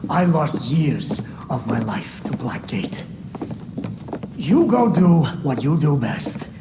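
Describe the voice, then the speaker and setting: An actor playing an aging, cultured crime boss in a video game, with a dry, sardonic, unhurried delivery. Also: male voice, on the high side, sing-song, roomy sound, noisy background. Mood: cryptic, sardonic. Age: older. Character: sly aging male crime boss, cultured, wary, unruffled. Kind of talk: cinematic video game acting. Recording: music under the voice. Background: loud music.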